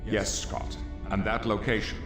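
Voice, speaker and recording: A man's voice: lowish voice, lively intonation, gravelly. A man playing a refined, formal professor leading a superhero team in a video game, his voice in an otherworldly reverb. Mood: composed, authoritative. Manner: formal, measured, authoritative. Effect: telepathic reverb. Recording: echoing long reverb, music behind.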